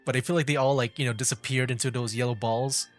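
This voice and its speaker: Casual male game streamer, young adult, mid-pitched, chatting through livestream gameplay with light music underneath. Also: steady tone, quick pace.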